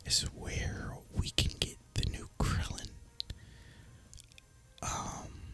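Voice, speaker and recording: A young man softly whispering ASMR gameplay commentary in a breathy, casual, rambling way. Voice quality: soft breathy unvoiced whisper. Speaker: gaming ASMR YouTuber.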